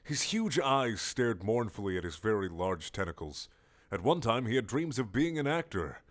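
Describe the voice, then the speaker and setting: A man narrating comic fiction from a script in a theatrical, measured, storytelling way. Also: on the low side, very animated. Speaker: narrator reading a fan story.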